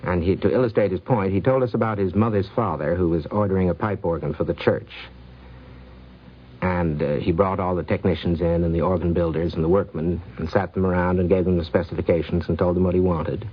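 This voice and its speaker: A man with a very low voice recounts anecdotes in an unhurried, reflective manner. The recording is dull and lo-fi, from 1950s radio. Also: steady tone. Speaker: film producer.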